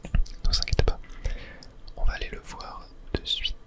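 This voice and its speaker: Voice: normal speed, fairly bright tone. A person whispering ASMR commentary over a video game on a close mic, thinking aloud hesitantly and correcting themselves as they go. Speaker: gaming video host.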